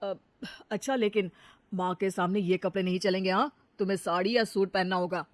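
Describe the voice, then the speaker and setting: A woman's voice: medium pitch, steady tone, a little fast, dry recording, natural voice. A woman voicing an earnest young married man, a firm but caring son, in an acted story.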